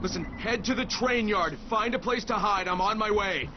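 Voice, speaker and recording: A man's voice: high voice, normal speed, highs cut off, background noise, close to an everyday voice. A man playing a determined action hero, an adult survivor in a zombie video game cutscene. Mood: alarmed, urgent.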